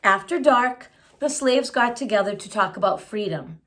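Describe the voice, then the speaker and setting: A woman's voice: mid-range voice, expressive, moderate pace, a bit bright. A woman reading a children's picture book aloud, phrasing it expressively and adding simple explanatory asides for young listeners. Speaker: children's story reader.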